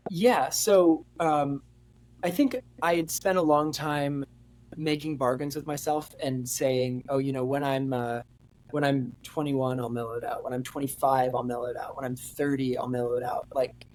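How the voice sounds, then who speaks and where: A man in his late twenties, a podcast guest talking candidly in a reflective, halting way with many fillers and restarts. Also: medium pitch, steady tone, darkish tone. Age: 20s. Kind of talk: candid conversational interview.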